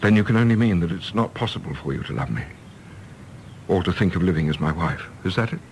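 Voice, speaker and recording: An actor playing an ardent, wounded suitor in a radio drama, earnest and insistent. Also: male voice, low-pitched, animated, fast, gravelly, a little hiss or hum. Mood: hurt, earnest. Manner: impassioned, insistent.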